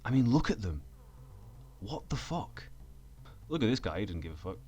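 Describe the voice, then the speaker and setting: A man giving sardonic, scripted video commentary in a deadpan, sweary manner. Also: fairly low pitch, normal speed, slight background noise. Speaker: video essayist. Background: background music.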